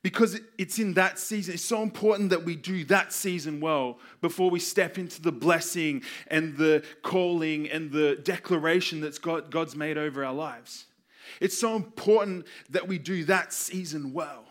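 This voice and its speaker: A man preaching a sermon with personal stories, earnest and emphatic, using repetition and deliberate pauses. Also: fairly high pitch. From Australia. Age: 20s. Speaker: youth pastor preaching.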